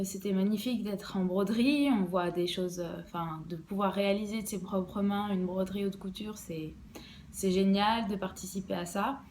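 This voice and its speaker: Young female embroidery designer, halting and filler-heavy, mid-pitched, faint room noise. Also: a little mellow. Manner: hesitant, many fillers and restarts. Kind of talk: podcast interview, casual explanation.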